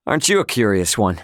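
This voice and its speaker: A man's voice: on the low side, fairly bright tone, no room sound, studio quality, full character voice. A male actor playing a young, brash, easygoing but stubborn spaceship captain in a video game, with a casual, slangy, wry manner. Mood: breezy, casual. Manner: casual slangy, wry, loosely drawled asides. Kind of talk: English video game voice acting.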